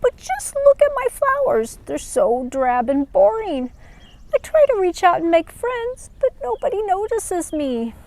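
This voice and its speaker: Storybook flower, performed by a woman: small, shy, lonely female, high childlike voice, plaintive delivery. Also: sing-song, measured pace, a little mellow, full character voice, poor audio. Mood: insistent, dejected.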